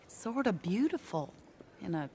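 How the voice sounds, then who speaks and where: A woman playing a genteel, sharp-tongued, wistful American former sweetheart in a video game cutscene. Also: mid-range voice, sing-song, normal speed, noisy background. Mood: teasing.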